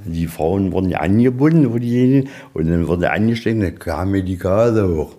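A middle-aged man with a deep, dark-toned voice works as a museum guide. He explains in a plain, colloquial storytelling style, setting up rhetorical questions as he goes. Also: expressive, brisk. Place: inside a museum, walking tour.

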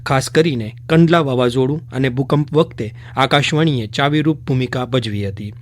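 A man presenting radio news, reading a script in a formal, measured way. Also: mid-range voice, no room sound.

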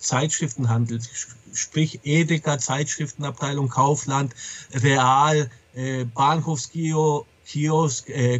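A middle-aged man with a slightly husky voice, being interviewed and talking candidly and casually, often stopping to correct himself. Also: fairly high pitch, dry recording. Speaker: comic publisher being interviewed. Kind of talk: casual interview talk about a trade.

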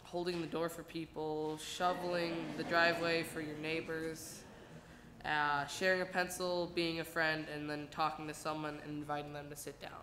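A young woman from a youth group reading a list at a church lectern, halting with fillers. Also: low voice, flat delivery, noisy background. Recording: echoey church room.

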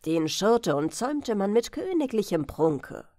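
A woman narrating a fairy-tale audiobook for bedtime with expressive, deliberate phrasing. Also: medium pitch, no room sound, clean studio sound.

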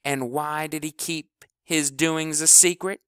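A man voicing an angry boy bully who shouts accusations in rough, heated speech. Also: lowish voice, animated, a little fast, dry recording, clean studio sound. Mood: angry, accusing.

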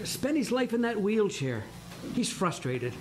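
A man voicing a weary, gentle middle-aged father in a community radio play. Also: high-pitched, sing-song, a little slow, slight background noise.

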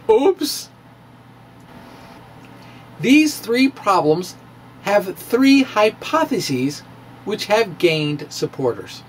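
A middle-aged man presenting a science monologue as a dramatic reading with casual asides, emphatic and theatrical, with halting pauses. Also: high voice, slight background noise. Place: small indoor room with bookshelves. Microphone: handheld-style microphone on stand close in front.

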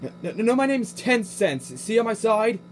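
A man voicing a chatty tugboat with a British accent, polite but quick to protest, in an amateur cartoon parody. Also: high voice, very animated, a little hiss or hum. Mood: indignant, protesting. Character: chatty, easily indignant tugboat from America.

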